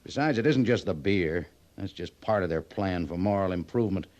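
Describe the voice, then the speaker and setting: A harried frontier post commander in a dull, muffled radio western recording. His American voice is low and dark. He explains himself earnestly, with a defensive air of authority. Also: lively intonation, fairly quick. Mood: defensive, explaining. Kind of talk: old-time radio western acting.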